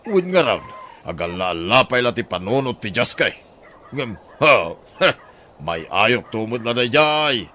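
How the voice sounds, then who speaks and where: A man's voice: mid-range voice, very animated, unhurried, sharp tone. Radio drama: older schemer husband played by a man, medium register, calculating delivery, northern Philippine accent, noisy ambience. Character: scheming older husband, eager to buy land.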